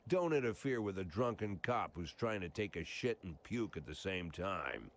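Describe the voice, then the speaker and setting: Very deep, world-weary cop. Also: male voice, very animated, moderate pace. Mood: dry. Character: weary hard-drinking older male cop, sardonic noir narrator. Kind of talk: scripted noir narration and dialogue.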